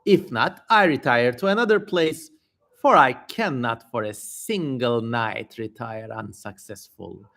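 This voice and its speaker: A man's voice: medium pitch, a little slow, gravelly, pushed and bright. A man voicing a fierce, defiant female demon spirit in a theatrical, haughty reading of an ancient text. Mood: menacing, boastful.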